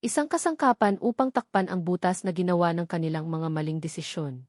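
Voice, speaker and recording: A woman with a Filipino accent narrates a scripted romantic drama in a measured, dramatic storytelling style. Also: on the low side, darkish tone, dry recording, clean studio sound.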